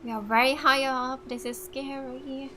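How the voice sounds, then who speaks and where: A woman's voice: high-pitched, little pitch movement, unhurried, background noise. A woman from Finland, a native Finnish speaker, giving casual let's play commentary in an offhand way. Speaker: gaming video host.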